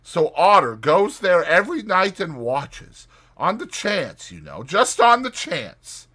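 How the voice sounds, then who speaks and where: A man's voice: sits high, big pitch swings, takes it slowly, sharp tone, breathy edge, pitched above the performer's own voice, full character voice. A man voicing an earnest, worried male water rat in a dramatized storybook reading, speaking in a grave, emphatic way. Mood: grave, tender.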